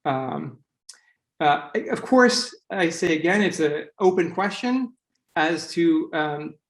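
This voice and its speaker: A man giving an academic conference talk as a panelist, speaking haltingly with many fillers between phrases. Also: on the high side, lively intonation, reverberant.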